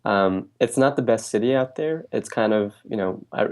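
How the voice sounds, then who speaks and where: A young man raised in New Jersey, a guest answering questions in a relaxed way, with frequent fillers and restarts. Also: lowish voice, normal speed. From Bangladesh, raised in New Jersey. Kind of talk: casual interview.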